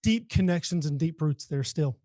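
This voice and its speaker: A man's voice: fairly high pitch, animated, moderate pace, warm tone, no echo, studio quality. An American man in his forties from Texas, chatting casually and politely as an interview guest. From Texas, United States. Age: 40s. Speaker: podcast guest, leadership coach.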